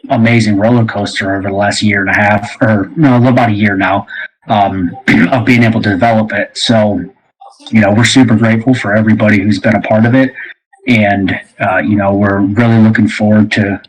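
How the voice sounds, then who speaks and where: Low, husky-voiced man hosting a chat. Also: steady tone, moderate pace, rough recording. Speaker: host and game creator. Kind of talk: casual conversational interview.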